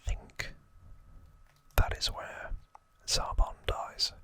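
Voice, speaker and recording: Male ASMR host, breathy bright whisper, unhurried and informal recap delivery. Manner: slow, hesitant, informal explaining.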